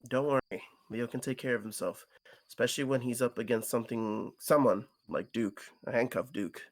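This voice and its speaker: Guy doing a low chill raccoon friend from a visual novel, reassuring, kinda guarded, dry close sound. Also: moderate pace, dry recording, close to an everyday voice. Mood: calm, guarded. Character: calm, reassuring male raccoon friend, watchful.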